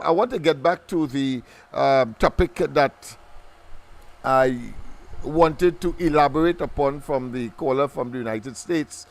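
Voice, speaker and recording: A man hosting a political talk radio show, speaking in formal phrasing broken by many ums and restarts. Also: mid-range voice. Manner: halting, full of ums and restarts, formal wording.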